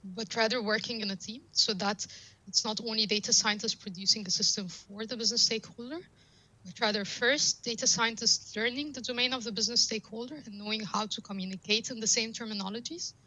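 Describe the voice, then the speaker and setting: A woman's voice: on the high side, steady tone, a little fast, bright, forceful tone, rough recording. A woman whose first language is Arabic, a guest on a podcast, explaining her ideas with examples and repeated phrases. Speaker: guest data scientist. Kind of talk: podcast interview.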